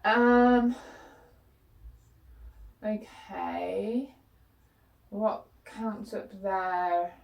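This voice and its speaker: A young woman, chatting frankly to camera in a vlog with lots of fillers, her voice a bit croaky from being unwell. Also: medium pitch, drawn-out words, long pauses, highs cut off. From New Zealand. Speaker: book vlogger. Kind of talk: casual chat to camera.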